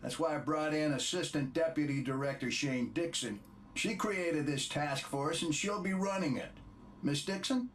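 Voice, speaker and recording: An actor playing a stern, authoritative middle-aged government chief, delivering a blunt briefing in a game drama. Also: male voice, fairly high pitch, moderate pace, far from the mic, slight background noise, lightly acted. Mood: blunt, commanding.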